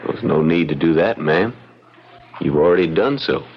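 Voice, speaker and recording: A young frontier lawyer with a deep voice. He is polite, wry and humble in a folksy way. The vintage radio drama recording is a little dull. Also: expressive, normal speed, breathy edge, exaggerated voice. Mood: courteous, wry. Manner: folksy, polite, self-deprecating. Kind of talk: old-time radio drama acting.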